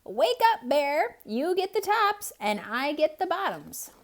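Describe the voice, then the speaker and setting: A woman voicing a clever, scheming male hare who talks in a raised, eager, loud voice. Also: high voice, sing-song, takes it slowly, pitched above the performer's own voice, full character voice. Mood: sly.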